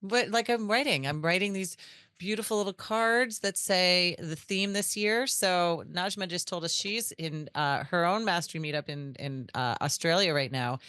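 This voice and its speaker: A woman's voice: low voice, a bit bright, no room sound, studio quality. A woman co-hosting a podcast, chatting casually in a bubbly, exclamatory and gushing way. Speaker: podcast co-host.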